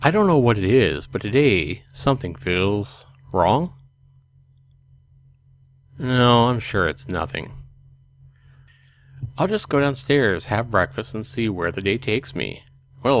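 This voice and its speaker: A man's voice: on the low side, a little hiss or hum. A man hosting a let's play, reading a visual novel aloud expressively with casual commentary.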